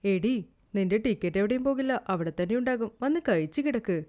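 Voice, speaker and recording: A woman's voice: fairly high pitch, animated, brisk, a little mellow, close to an everyday voice. A woman voicing a practical, sceptical elder sister in a village storybook tale, matter-of-fact and scolding.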